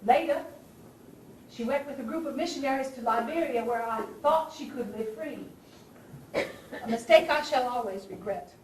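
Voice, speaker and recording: Echoey far-off stage recording, actress doing a high voiced southern civil war lady, fervent abolitionist, very theatrical monologue w dramatic pauses. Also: lightly acted. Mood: earnest, confiding. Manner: theatrical, declamatory, with dramatic pauses and rhetorical questions. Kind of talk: stage play monologue addressed to the audience. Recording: echoey stage recording from afar, faint noise.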